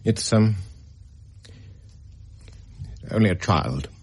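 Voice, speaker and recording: A polite but evasive middle-aged estate agent stalls with ums and deferential hedging. His husky voice rises and falls in lively swings. A radio drama performance. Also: fairly low pitch, normal speed. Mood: nervous. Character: fussy, evasive male senior estate agent.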